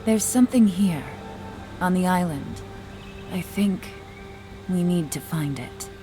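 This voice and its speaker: A woman voicing a gentle, empathetic enchantress haunted by regret in a video game, speaking with soft, earnest care. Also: fairly low pitch, lively intonation, takes it slowly. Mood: resolute. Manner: soft, earnest, soothing counsel. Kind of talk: dramatic video game acting. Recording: game music behind the voice. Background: game music.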